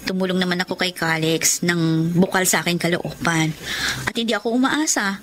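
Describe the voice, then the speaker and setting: A woman's voice: fairly low pitch, moderate pace, fairly bright tone, slight background noise, lightly acted. An actress playing a kind, self-sacrificing young woman who tells of lost love in an earnest, sentimental Tagalog radio drama style. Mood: resigned. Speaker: letter sender narrating her story in radio drama.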